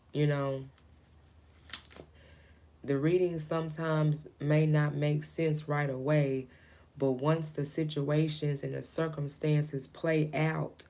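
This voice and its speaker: A woman giving a personal tarot reading in a low, light, calming voice, talking gently to spirit and to her client without a clip-on mic. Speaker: tarot reader. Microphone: camera mic, no lapel mic.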